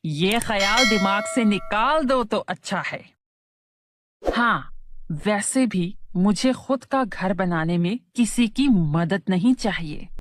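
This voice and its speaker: A woman voicing a stubborn, self-centred young brother with a blunt, deliberate delivery in a children's cartoon moral story. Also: medium pitch, animated. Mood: dismissive.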